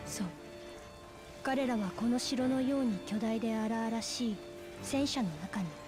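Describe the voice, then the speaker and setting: A woman acting as the solemn, measured storyteller of a wartime video game. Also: fairly high pitch, over music, close to an everyday voice. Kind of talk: dramatic scripted game narration.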